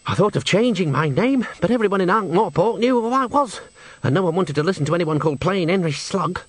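Brief: male narrator plays storybook opera singer from the slums, wistful, hesitant, grumbling, high and lively pitch. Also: brisk, no room sound. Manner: hesitant, sighing, complaining.

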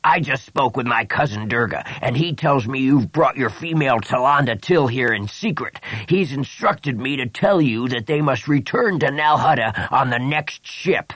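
Husky, pompous alien overlord. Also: male voice, medium pitch, animated, normal speed, few pauses, fairly bright tone, dry recording, pitched above the performer's own voice. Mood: pompous, ordering. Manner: pompous, blustering, incredulous. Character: pompous, indignant male Hutt overlord, slow alien slug.

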